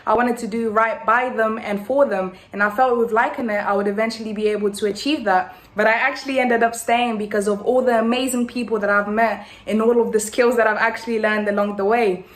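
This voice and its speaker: A woman's voice: fairly high pitch, steady tone, fairly quick, hardly any pauses. A nineteen-year-old woman from Angola, raised in Portugal, giving an earnest testimonial. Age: late teens. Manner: earnest, reflective. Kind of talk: testimonial video.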